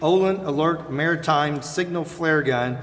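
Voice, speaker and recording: A man's voice: on the high side, unhurried. An American man formally reading out agenda items as chair of a public meeting in a council chamber. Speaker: meeting chair, presiding official. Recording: echoey council chamber, clear background noise.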